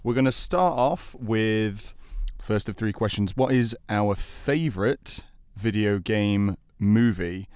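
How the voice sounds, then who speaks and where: Male debate host, slightly deep, dry recording, conversational presenting style. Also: slow, few pauses, a little mellow, smooth, no room sound.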